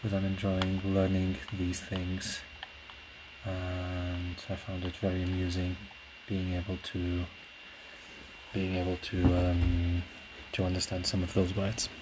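A man's voice: deep voice, takes it slowly. A man whose native language is Portuguese, speaking in a casual solo podcast ramble, hesitant and reflective as he searches for words. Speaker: podcast host; classical singer. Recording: clear steady background noise.

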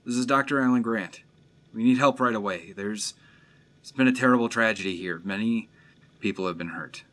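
An actor playing a shaken paleontologist who gives urgent, steady orders. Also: male voice, on the low side, moderate pace, close to an everyday voice. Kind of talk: fan audio drama acting.

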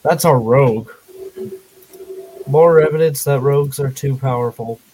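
A man's voice: medium pitch, unhurried, mellow, slightly rough, poor audio. A male tabletop game player chatting casually during play.

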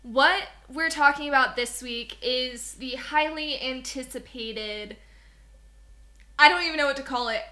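A woman's voice: sits high, unhurried, pushed and bright. A young woman from Southwest Missouri co-hosting a podcast, chatting in a rambling way and laughing often. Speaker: podcast co-host. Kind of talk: casual podcast chat.

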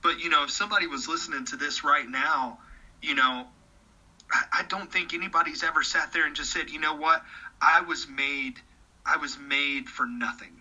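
A man in his thirties talks with real conviction in an easygoing chat. His voice is strikingly bright and forceful, and he often repeats words as he gets a sentence going. The recording sounds slightly dull and lo-fi. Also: fairly high pitch, moderate pace. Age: 30s. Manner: earnest, repeats words when starting sentences. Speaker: podcast guest. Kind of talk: casual conversation.

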